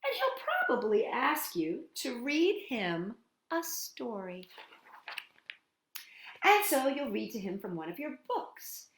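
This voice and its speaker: Female children's storytime host: high, expressive voice, unhurried read-aloud with playful asides, recorded in a roomy space. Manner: animated, playful, chatty asides to young listeners. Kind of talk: picture-book read-aloud for young children.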